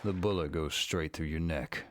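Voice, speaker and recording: A man's voice: rumbling low, moderate pace, no echo, clean studio sound. A man reading scripted second-person narration for a visual novel story game. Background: faint music.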